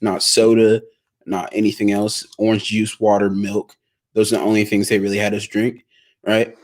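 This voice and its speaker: A teenage boy with a fairly low voice talks casually. He rambles and keeps asking "right?". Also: little pitch movement, moderate pace. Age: teens. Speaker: son of the host. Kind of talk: casual chat.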